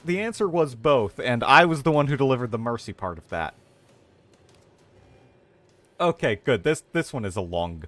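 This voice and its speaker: A man streaming a video game, switching between casual chat and dramatic readings of game lore. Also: fairly low pitch.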